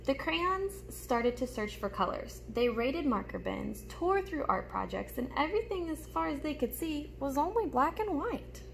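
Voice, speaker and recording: A young adult woman reading a storybook aloud to children like a teacher, with animated, explanatory asides, recorded in a room at home. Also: high-pitched, moderate pace, hardly any pauses. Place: small room at home, indoors. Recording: clear background noise, room ambience.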